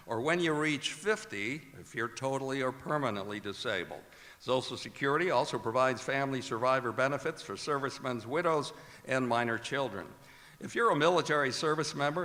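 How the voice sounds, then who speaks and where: A man's voice: mid-range voice, bright, forceful tone. A man hosting live radio theater on a performing arts stage, reading announcements and sponsor spots in a projected, presentational way. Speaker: announcer and host. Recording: hall reverb, faint music.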